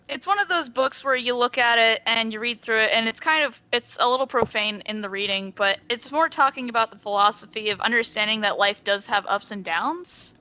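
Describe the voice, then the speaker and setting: A woman's voice: fairly high pitch, sharp tone, dry recording, low-quality recording. A woman guest on a casual podcast, explaining things in an informal, light-hearted way.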